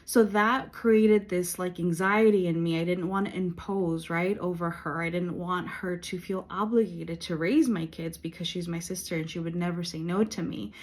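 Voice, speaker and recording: A woman in her late thirties talking in a personal faith vlog, earnest and reflective, with many pauses and fillers. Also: lowish voice, fairly even intonation. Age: 30s. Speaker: vlogger.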